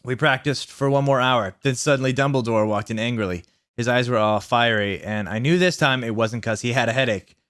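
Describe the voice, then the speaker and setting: A man's voice: medium pitch, brisk, fairly bright tone, clear, resonant tone, no room sound, clean studio sound. A man reading a story aloud in a theatrical way, stumbling over the text now and then. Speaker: video host reading fan fiction aloud. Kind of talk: expressive story reading with ad-libbed asides.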